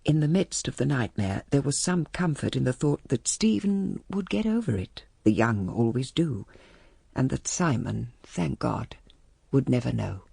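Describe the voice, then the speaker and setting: Husky, creaky older English gentlewoman. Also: rumbling low, animated, no room sound, studio quality, full character voice. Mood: reflective, troubled. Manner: measured, reflective, well-mannered. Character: composed, genteel mother of a troubled country-house family. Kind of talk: radio drama acting, intimate first-person narration.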